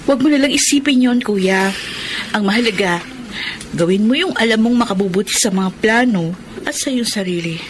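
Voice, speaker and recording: A level-headed young Filipina sister acted by a woman in a radio drama. She speaks earnestly at a middling pitch, with a caring manner. There is slight noise in the background. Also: expressive, moderate pace, lightly acted. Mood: consoling.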